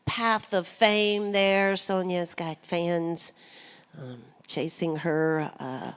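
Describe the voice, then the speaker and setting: A woman's voice: lowish voice, fairly even intonation, very slow, clean tone. A woman hosting a live radio show, joking and folksy with plenty of fillers.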